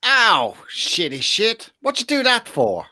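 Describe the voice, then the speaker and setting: Man acting a bright-voiced, protesting bullied coworker. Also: sits high, wildly swooping pitch, takes it slowly, full character voice. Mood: pained, indignant. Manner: protesting, foul-mouthed. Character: reluctant, indignant bullied male coworker. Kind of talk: amateur live audio drama.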